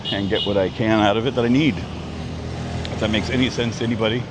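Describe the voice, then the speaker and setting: A man's voice: fairly low pitch, fairly even intonation, normal speed. A man in his fifties from Canada, chatting casually on a live travel vlog, rambling with lots of ums and restarts. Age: 50s. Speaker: travel vlogger. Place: outdoors at a busy market street. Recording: street traffic noise outdoors. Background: traffic and street noise.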